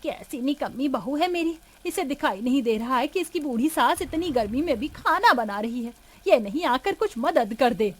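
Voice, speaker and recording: High, swooping elderly mother-in-law played by a woman. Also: expressive, moderate pace, few pauses, breathy edge. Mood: grumbling. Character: elderly mother-in-law, fawning, partial, grumbling. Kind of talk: cartoon family drama dialogue.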